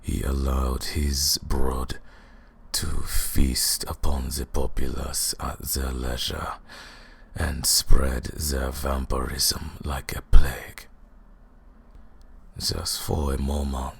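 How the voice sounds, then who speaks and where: A man's voice: extremely low pitch, level tone, gravelly, a bit bright, husky, full character voice. A man playing an ancient vampire lord, cold, mocking and condescending, in a theatrical, menacing roleplay monologue. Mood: condescending, grave. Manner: slow, menacing, taunting, theatrical. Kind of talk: scripted ASMR roleplay monologue.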